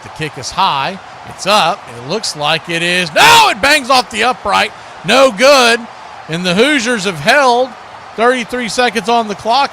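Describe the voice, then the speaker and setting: A man calls a football game live over clear background noise. He talks conversationally, moving between play calls and his own take on the action. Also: high-pitched. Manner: conversational, mixes calls with opinion. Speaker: play-by-play announcer for a fan watch-party stream. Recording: clearly noisy live stream audio.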